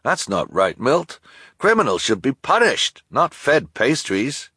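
A man's voice: mid-range voice, sing-song, unhurried, husky, no echo, studio quality, voice pushed up, exaggerated voice. A man voicing a blustering, sneering male delivery man in an audiobook reading. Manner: shouting, sneering.

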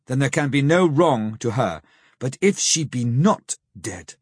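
A man voicing an old male professor who speaks gravely, sternly and with pity, in slow, solemn, pleading phrases for an audiobook. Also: mid-range voice, animated, dry recording, studio quality. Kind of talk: audiobook character reading.

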